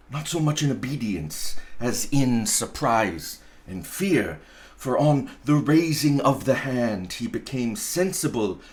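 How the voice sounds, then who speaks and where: A man narrating a storybook expressively, with deliberate, dramatic phrasing. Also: medium pitch. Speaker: storybook reader, pastor.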